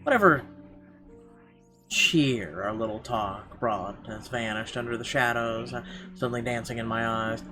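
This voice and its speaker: A man reading a visual novel aloud as dramatic first-person narration, expressive with occasional stumbles. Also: medium pitch, unhurried. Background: guitar music.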